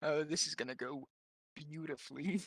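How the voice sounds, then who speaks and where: A man's voice: a little high, normal speed, no room sound, studio quality. A man playing a tabletop game, chatting casually and thinking his moves through aloud, a little hesitantly.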